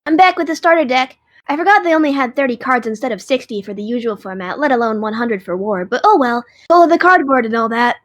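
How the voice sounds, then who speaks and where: A woman's voice: a little high, fast, natural voice. A woman voicing a friendly, easygoing dragon who is eager to play cards. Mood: casual. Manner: easygoing, eager card-game enthusiast. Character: friendly card-playing dragon, casual and eager. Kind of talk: fan audio drama acting.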